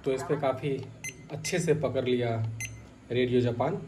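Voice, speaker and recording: A man's voice: medium pitch, moderate pace, noisy background. A man casually narrating a hands-on product test as a hobbyist vlogger.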